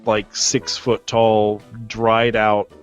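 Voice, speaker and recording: A man's voice: fairly low pitch, completely flat delivery, extremely slow, dull audio, soft background music. A man hosting a casual movie chat, telling a long story in a rambling way and leaning heavily on "like.". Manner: rambling storyteller, many "like" fillers. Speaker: host.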